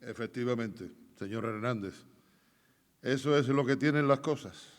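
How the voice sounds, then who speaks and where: Actor as complacent gentleman, echoey hall. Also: mid-range voice, measured pace, close to an everyday voice. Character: self-satisfied, indifferent gentleman passer-by. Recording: echoey hall, long reverb.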